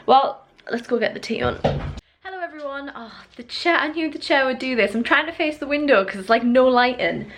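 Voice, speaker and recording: A woman's voice: sits high, animated, fairly quick, a little hiss or hum. A young British woman chatting casually to her vlog viewers in an informal, rambling way. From United Kingdom. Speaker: vlogger.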